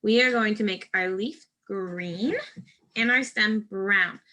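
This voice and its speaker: A woman's voice: mid-range voice, takes it slowly, bright, forceful tone. A woman teacher giving a step-by-step craft lesson to first graders, speaking directly to children in simple, patient instructions.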